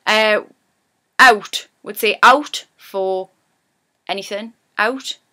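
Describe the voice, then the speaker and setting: A woman's voice: medium pitch, very slow, pushed and bright. A woman from Newcastle with a Geordie accent, rambling cheerfully as she teaches her local dialect in a casual lesson. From Newcastle, England.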